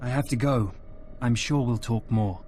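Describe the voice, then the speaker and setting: Male actor as busy military staffer, game cutscene: middle pitch, businesslike, overworked. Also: moderate pace, a little mellow, soft background music, lightly acted. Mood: harried, businesslike. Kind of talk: video game cutscene acting.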